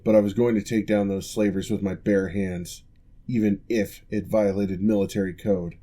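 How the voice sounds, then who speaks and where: A composed male orc, once a general and now a physical therapist, as a man plays him in an ASMR roleplay. His voice sits a little low and mellow. He speaks in a measured, formal, reassuring way. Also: level tone, natural voice.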